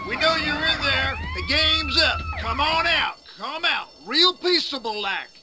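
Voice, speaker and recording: A woman's voice: high voice, wildly swooping pitch, measured pace, exaggerated voice. A woman playing a grumbling, commanding small-town police officer in a video game, with a folksy manner. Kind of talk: video game cutscene acting. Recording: loud sirens and vehicle noise.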